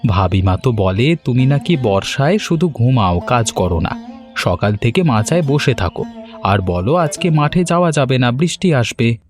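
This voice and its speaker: A man playing a cheeky, mocking village lad in an animated story, teasing as he talks. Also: medium pitch, normal speed, soft background music, lightly acted.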